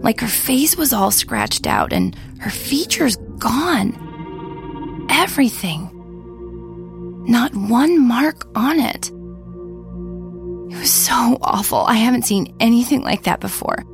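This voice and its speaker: A terrified young woman, haunted by a faceless ghost, pleads in a high, trembling voice as a woman puts her on. Her words come slow and breathless, with a gravelly catch. Faint music plays beneath this storybook horror scene. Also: big pitch swings, exaggerated voice. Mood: horrified.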